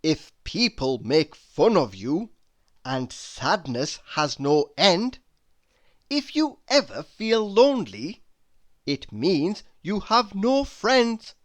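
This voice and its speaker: A man reading humorous quotes aloud in a deliberate, mock-inspirational manner. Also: sits high, very animated, a bit bright. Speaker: reader.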